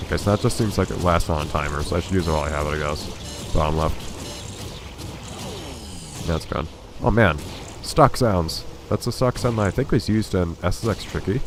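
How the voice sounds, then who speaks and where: A man's voice: low voice, fairly quick, background noise. A man with a stuffy, congested voice giving dry, wry commentary while playing a video game. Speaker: let's play host. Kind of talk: casual gameplay commentary.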